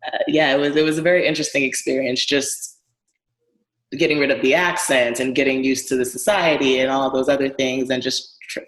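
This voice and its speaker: Woman's voice in an echoey room. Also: a bass voice. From Ghana. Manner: friendly, laughs easily, relaxed storytelling. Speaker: guest, small business owner. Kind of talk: casual interview conversation.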